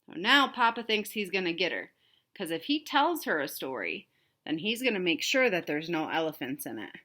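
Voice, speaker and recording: A woman reading a storybook aloud for children with expressive narration and chatty asides to her young listeners. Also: fairly low pitch, normal speed. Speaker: read-aloud host for children.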